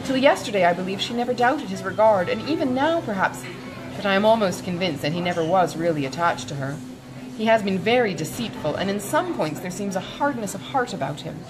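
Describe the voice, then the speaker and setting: Woman as young gentlewoman, sensible, polite, restrained, melodic intonation, audiobook dialogue, music behind. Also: mid-range voice, animated, fairly quick, natural voice, poor audio. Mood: restrained sorrow.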